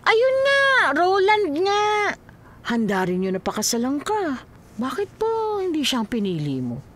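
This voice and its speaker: A woman playing a concerned, inquisitive elderly mother in a radio drama, teasing and expressive. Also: high voice, a little slow, exaggerated voice. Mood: prying, teasing. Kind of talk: Filipino radio drama acting.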